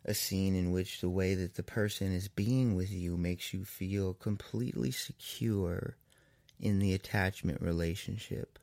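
A man with a deep voice and a gravelly fry leads a guided meditation, very slowly and soothingly. He leaves long pauses between short phrases, and the recording is dry and close. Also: fairly even intonation, darkish tone, no room sound.

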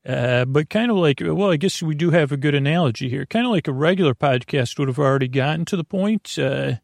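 Man, gravelly creaky voice, dry close sound, sleep podcast host, lulling, hesitant, wandering monologue. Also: a little high, expressive, brisk, no room sound. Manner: meandering, hesitant, self-interrupting, mock-questioning himself; lulling, soothing. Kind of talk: rambling bedtime monologue.

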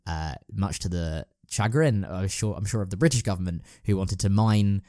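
A man's voice: sits low, normal speed, warm tone, no room sound, studio quality. A man co-hosting a casual discussion, hesitant and often correcting himself as he thinks aloud. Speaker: podcast co-host. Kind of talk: casual chat about staging a radio play.

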